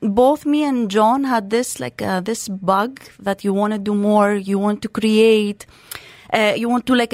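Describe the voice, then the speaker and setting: A woman's voice: fairly high pitch, no echo. A woman from Crete in her thirties, a guest in a radio studio interview, talking in a chatty way with lots of fillers and restarts. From Greece (Crete). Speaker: guest, startup co-founder.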